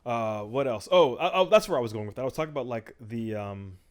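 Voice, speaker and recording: A man's voice: mid-range voice, quick pace. A man in his thirties hosting a podcast, chatting casually and rambling with fillers. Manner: relaxed, rambling, trails off with fillers. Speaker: podcast host. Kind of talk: casual chat.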